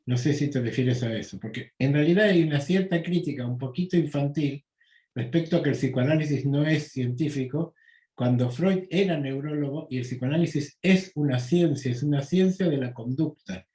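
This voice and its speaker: An older man with an Argentine Spanish accent, a psychoanalyst answering interview questions slowly and deliberately, with frequent pauses and fillers. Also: a little high, mellow, echoey room, poor audio. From United States. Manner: slow, measured, with many pauses and fillers. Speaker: psychoanalyst guest.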